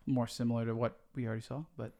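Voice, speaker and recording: A man co-hosting a podcast in relaxed, hedging casual chat. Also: mid-range voice, quick pace. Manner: laid-back, hedging. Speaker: podcast co-host.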